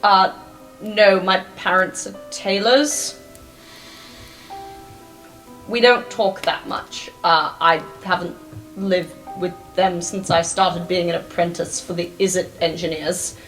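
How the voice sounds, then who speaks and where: A woman's voice: fairly low pitch, steady tone, slow, fairly bright tone, faint music behind, poor audio. A woman voicing an awkward, modest apprentice engineer in a tabletop game, hesitant and plain-spoken.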